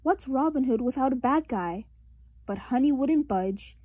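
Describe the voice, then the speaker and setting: A woman narrates a children's picture book aloud. Her voice is fairly high and expressive, with deliberate emphasis, recorded dry and close. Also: slightly rough, dry recording, highs cut off. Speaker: storybook reader.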